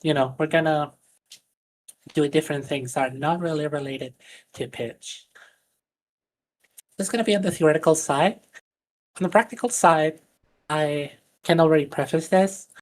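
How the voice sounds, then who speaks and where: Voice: mid-range voice, moderate pace, pauses often, low-quality recording. A voice coach whose first language is Spanish, earnestly explaining voice training on a live stream with plenty of fillers and restarts.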